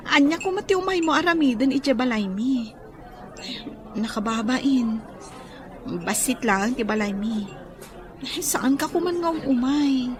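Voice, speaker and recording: An actress with a Filipino accent playing a modest, earnest young daughter in a radio drama. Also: female voice, high-pitched, expressive, measured pace, long pauses, a crowd in the background. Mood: bashful.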